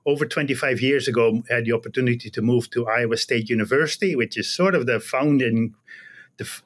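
A middle-aged man from the Netherlands with a Dutch accent explains his research in a halting way, often repeating words, on a video call from an office. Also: mid-range voice. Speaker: guest, animal genetics researcher. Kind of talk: explanatory interview talk. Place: small indoor office. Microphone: computer video call.

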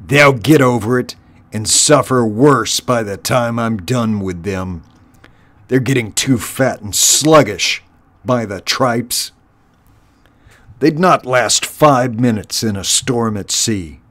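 A man's voice: mid-range voice, expressive, a little slow, natural voice. A man voicing a brutal, contemptuous one-eyed rat warlord from a storybook, bellowing and snarling his commands. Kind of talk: storybook character voice.

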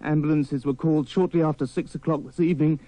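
A man's voice: fairly high pitch, fairly even intonation, fast, dark tone, dry recording, low-quality recording. A British man delivering a scripted news report.

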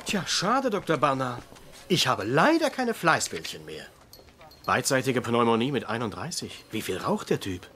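A caustic senior doctor in a hospital comedy dub. He is belittling and sardonic, with animated intonation over faint background noise. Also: mid-range voice, moderate pace. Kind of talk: German-dubbed TV comedy acting.

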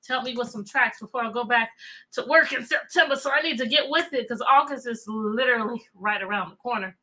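A woman with an American accent talks to children like an engaging teacher on a livestream. Her voice sits high and has a bright, forceful edge. She is animated and often repeats her questions to pull listeners in. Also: a little fast. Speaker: teacher, children's read-aloud host. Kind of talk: chatty interactive livestream for children. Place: indoor room with bookshelves.

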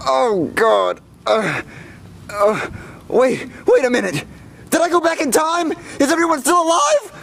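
A confused male plumber hero keeps asking what is wrong, his high voice bouncing up and down. A young man acts him out on a camera mic inside a house, with faint noise behind. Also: very animated, measured pace, vocal fry, a bit bright. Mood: bewildered. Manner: bewildered, exclaiming. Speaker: puppet video maker voicing the plumber. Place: suburban house indoors.

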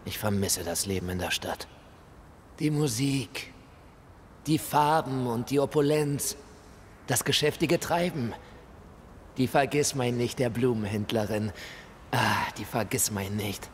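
An actor playing the embittered, polite ghost of a colonial shop clerk, with formal, theatrical delivery in a video game. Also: male voice, mid-range voice, unhurried, pauses often, natural voice. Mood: wistful. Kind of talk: video game dialogue acting. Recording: hall reverb, noisy ambience.

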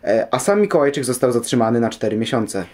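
A young man with a husky voice giving scripted factual talk as a guest. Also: medium pitch, expressive, fast talker, darkish tone. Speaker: programme guest.

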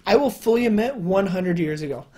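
A young man with a fairly high voice, often mistaken for a woman, chatting casually and rambling to camera at a desk at home. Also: lively intonation, fairly quick, a little mellow. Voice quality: higher-pitched male voice, sometimes mistaken for a woman. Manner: chatty, rambling, many fillers and restarts. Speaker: YouTuber. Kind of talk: casual solo commentary. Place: indoor room at home.

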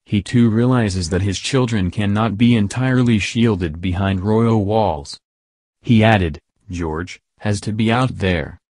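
A man with a fairly low voice reads a scripted news narration. His delivery is even and flat, with no fillers or slips. The sound is dry and close, with no room around it. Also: measured pace, dry recording.

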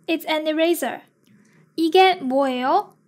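High-pitched woman reader, extremely slow, evenly spaced lesson delivery. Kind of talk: slow reading of phrases and translations.

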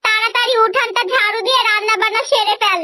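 Woman doing a shrill, scolding cartoon stepmother. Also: fairly even intonation, quick pace. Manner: sharp nagging and threatening. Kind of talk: fairy-tale cartoon dub.

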